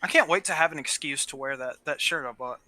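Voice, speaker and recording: This young man has a very bright, slightly high voice captured with a dry sound. He co-hosts gameplay in a casual, playful and informal way. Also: fast, no room sound. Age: young adult. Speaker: gaming co-host. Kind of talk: casual chat over gameplay.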